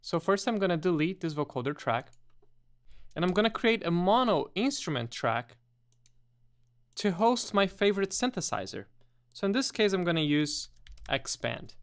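A man presenting a step-by-step software tutorial in a methodical, explanatory way. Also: a little high.

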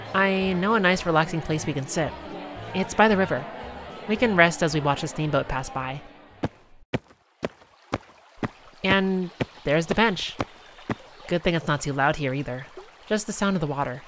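A performer voicing a cheerful, affectionate girlfriend on a theme park date, speaking gently and unhurriedly in a one-sided ASMR roleplay. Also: mid-range voice, over music, crowd noise, lightly acted. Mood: relaxed. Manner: gentle, unhurried, softly conversational.

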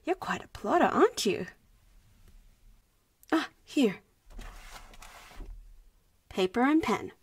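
A young woman voicing a playful, protective popular vampire girl in an intimate audio roleplay, breathy and often dropping to a whisper. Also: high voice, big pitch swings, slow, long pauses, heavily acted. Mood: playful, flustered. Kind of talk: audio roleplay voice acting, addressing the listener.

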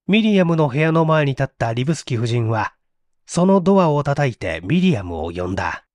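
Animated male mystery narrator. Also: mid-range voice, moderate pace, no echo. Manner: theatrical, suspenseful. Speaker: audiobook narrator, stage actor. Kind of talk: dramatic scripted mystery narration.

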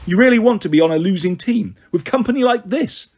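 A man voicing a smug, smooth-talking college-age villain in a storybook, with a taunting manner. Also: sits high, expressive, fairly quick, darkish tone, pitched above the performer's own voice. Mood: persuasive, intense. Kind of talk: storybook character voice.

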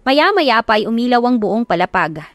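A woman's voice: fairly high pitch, sing-song, a little fast, no echo. A woman with a Filipino accent reading a scripted first-person story as an audiobook narrator.

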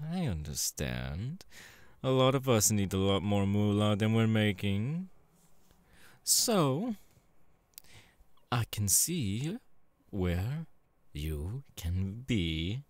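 A man voicing a sleazy, irritable demon film director in an ASMR roleplay, domineering and coaxing with drawn-out delivery. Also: on the low side, a little mellow, no echo.

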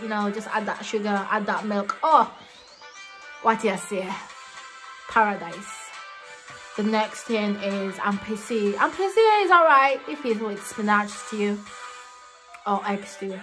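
A woman's voice: mid-range voice, a bit bright, poor audio. A young woman from Ghana vlogging to camera in a small room, chatting playfully and laughing often. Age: young adult. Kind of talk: casual chat to camera.

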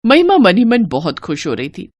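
A woman's voice: on the low side, huge pitch swings, normal speed, a little mellow, no room sound. A woman narrating a scripted cartoon story in a dramatic storytelling style.

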